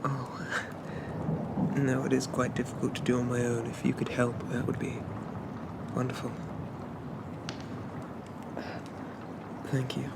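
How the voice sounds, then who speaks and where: A man playing a shy, polite undead zombie in a soft ASMR roleplay, stammering and hesitant in a gently formal way. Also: medium pitch, monotone, a little fast, creaky, heavy background noise, close to an everyday voice. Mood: grateful, bashful.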